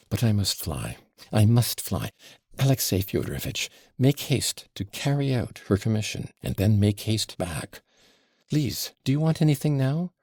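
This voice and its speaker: A man voicing a flustered, gushing middle-aged society mother who talks in breathless, excitable exclamations. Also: medium pitch, gravelly, slightly rough, no room sound, studio quality, exaggerated voice.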